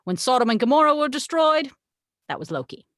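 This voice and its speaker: A woman's voice: high-pitched, sing-song, a little fast, a bit bright, dry recording, studio quality. Woman as a haughty, sarcastic, swooping-voiced angel. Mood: wry storytelling. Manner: exasperated, condescending, wry storytelling. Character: haughty, sarcastic tequila-loving angel, the voice of God. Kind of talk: table read of a film script.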